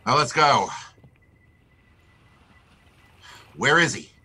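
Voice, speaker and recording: An actor playing a tough, wry private detective with clipped, wisecracking delivery, in 1940s radio noir style. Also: male voice, mid-range voice, lively intonation, brisk, sharp tone, a little hiss or hum. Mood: urgent.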